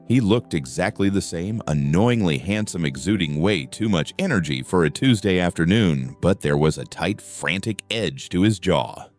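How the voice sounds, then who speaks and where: A man narrating a first-person story in an expressive, wry storytelling manner. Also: a bass voice. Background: faint music.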